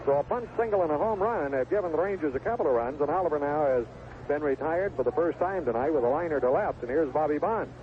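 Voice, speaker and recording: Male play-by-play baseball announcer, folksy and colorful, higher-pitched voice, tinny 1970s AM radio sound. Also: animated, fast talker, a little hiss or hum. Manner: folksy, colorful turns of phrase. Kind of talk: live play-by-play sports commentary. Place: outdoor ballpark broadcast booth.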